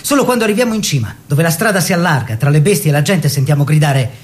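An actor playing an eager young bourgeois painter in a theatrical monologue, expressive and savouring short phrases. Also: male voice, fairly high pitch, fast, lightly acted. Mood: wondering.

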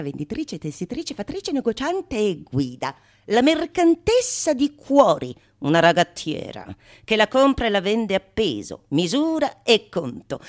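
A woman actor performing a theatrical monologue in a declamatory manner, with dramatic pauses and relish for long lists of words. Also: medium pitch. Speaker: solo stage actress. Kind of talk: theatrical monologue of a Renaissance comedy.

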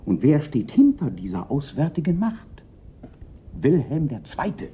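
A melodramatic police prefect who frets and pities himself. His voice sits fairly high for a man and swings widely in exasperation. It is a radio play with slightly dull, lo-fi sound. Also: wildly swooping pitch, measured pace. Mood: suspicious, insistent. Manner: theatrical, exasperated, lamenting. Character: fretful, self-pitying Paris police prefect, panicky and melodramatic. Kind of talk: German radio play acting.

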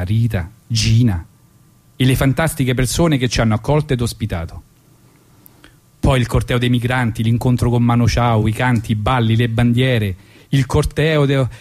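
A man's voice: mid-range voice, darkish tone. A man with a Roman accent delivering an ironic, colloquial spoken monologue as a radio storyteller, emphatic and fond of repetition. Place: radio studio.

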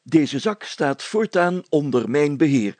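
A man's voice: a little high, lively intonation, unhurried, a little mellow, no echo. A man voicing a pompous, officious and fussy village mayor in a storybook reading, speaking stiffly and formally with flustered hesitations. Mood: pompous, insistent.

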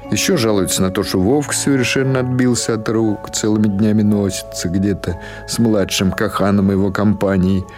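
A man reading literary prose as an audiobook narrator, in a measured, expressive storytelling manner. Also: on the low side.

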